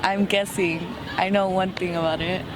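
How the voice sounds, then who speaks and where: A woman's voice: fairly low pitch, flat delivery, moderate pace, over a noisy crowd. A nineteen-year-old woman answering street interview questions, unsure and trailing off, outdoors on a city street. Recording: loud street traffic noise.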